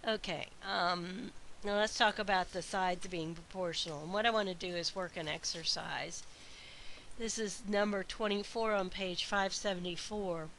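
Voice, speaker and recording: A woman math instructor recording a lecture while congested from a sinus infection, her voice weak and stuffy, explaining slowly with frequent uhs and ums. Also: fairly low pitch, fairly even intonation, fairly bright tone, low-quality recording. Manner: slow, methodical explaining with frequent uh and um. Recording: faint hiss.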